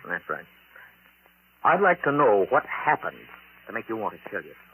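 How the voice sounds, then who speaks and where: A man playing an obsessive, resentful middle-aged psychology professor in 1940s radio drama, insistent and halting on a vintage recording. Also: lowish voice, sing-song, narrow, tinny audio, slight background noise. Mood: probing, defensive. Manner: insistent, halting, intense delivery.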